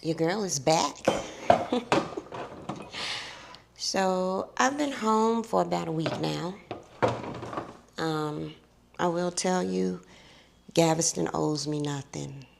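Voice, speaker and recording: A woman's voice: lowish voice, takes it slowly, gravelly, long pauses. A middle-aged woman vlogging to her viewers, chatty and drawn out, calling them honey and baby, her voice a little tired and scratchy. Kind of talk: casual chatty vlog.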